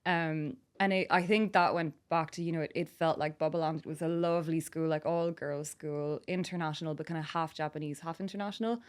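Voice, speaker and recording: A chatty woman from France, a podcast guest whose English carries a mixed international accent. She talks casually, often saying "like" and "um". Her voice sits slightly low for a woman. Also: fairly even intonation, brisk, few pauses, clear, resonant tone. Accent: mixed international, slightly Irish-tinged English. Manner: chatty, with frequent "like" and "um", laughing easily. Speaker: guest, author and yoga teacher.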